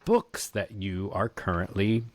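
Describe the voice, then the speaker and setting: A man's voice: low voice, steady tone, measured pace. A man hosting a podcast in casual, rambling, self-deprecating comedic chat. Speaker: podcast host. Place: basement home studio near a heater. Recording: clear background noise, basement home studio.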